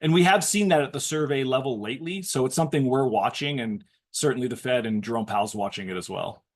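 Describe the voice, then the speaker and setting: A man speaking as a financial panelist, giving measured, analytical commentary on economics in structured explanations. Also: medium pitch.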